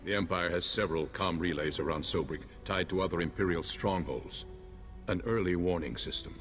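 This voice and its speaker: A man playing a calm, seasoned older warrior strategist in a video game, speaking in a measured, formal way. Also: low-pitched, soft background music. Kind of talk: video game cutscene acting.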